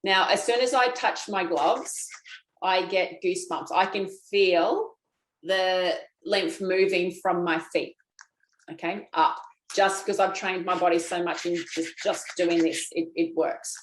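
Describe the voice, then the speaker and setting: A woman's voice: on the low side, steady tone, a little slow, echoey room, poor audio. A woman teaching a guided self-massage class, chatty and folksy, talking straight to her viewers. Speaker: lymphatic drainage instructor.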